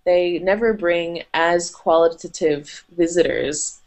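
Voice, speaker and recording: A woman's voice: on the low side, steady tone, takes it slowly, darkish tone. A young woman from Canada, chatting upbeat as a guest on a podcast interview, with many fillers. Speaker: content marketing manager, interview guest.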